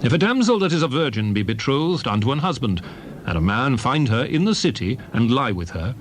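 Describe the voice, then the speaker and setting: A man giving a solemn, declarative, dramatized reading of King James scripture in measured phrases. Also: medium pitch, slight background noise. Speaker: Bible reader.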